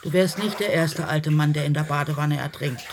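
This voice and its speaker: Radio drama: sardonic middle-aged wife, exasperated yet caring, scolding, slight background noise. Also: female voice, deep voice, fairly even intonation, brisk. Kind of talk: naturalistic radio drama dialogue.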